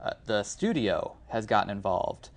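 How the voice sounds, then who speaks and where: A man with a slightly low-pitched voice talks as co-host of a film podcast. His delivery is conversational and casual, like a relaxed discussion of movies. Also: normal speed.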